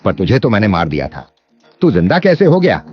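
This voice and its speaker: An actor playing a greedy, cowardly landlord villain in an animated moral story, exaggerated and theatrical. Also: male voice, on the low side, brisk. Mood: terrified, pleading. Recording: dull lo-fi audio. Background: music.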